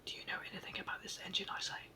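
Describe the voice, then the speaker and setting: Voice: racing, bright, forceful tone. A reader whispering the part of an impatient male station master in a storybook.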